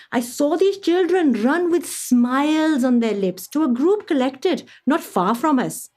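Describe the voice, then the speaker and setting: Lively, refined Indian lady traveller. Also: sits high, exaggerated voice. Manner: expressive, formal, literary phrasing. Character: Indian woman traveller of early 1900s, amused, refined memoirist. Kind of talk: radio play monologue, storytelling.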